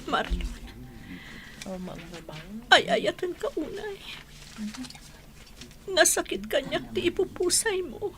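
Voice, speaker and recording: A woman's voice: very high voice, expressive, takes it slowly, pauses often, fairly bright tone, soft background music, full character voice. A woman playing a devoted, grieving wife in a radio drama, with a tearful, emotional delivery. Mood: subdued grief.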